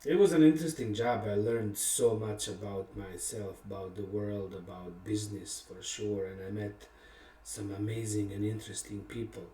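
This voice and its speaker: Low-voiced man telling a candid story, roomy. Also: steady tone, warm tone, slight background noise. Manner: reflective and candid, with many pauses and fillers, laughing at himself. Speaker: songwriter and musician. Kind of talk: casual personal storytelling about a song. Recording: roomy sound from a distant mic.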